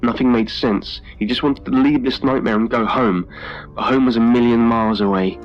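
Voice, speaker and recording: A man reading a scripted horror story in a measured, dramatic storytelling manner. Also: medium pitch, steady tone, dull audio. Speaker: story narrator. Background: music.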